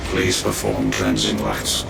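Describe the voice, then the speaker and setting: Game tech-priest, male,: ritual intoning, rumbling gravel, dead flat. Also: slow pace, exaggerated voice. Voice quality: deep gravelly monotone. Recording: distant voice under loud game music.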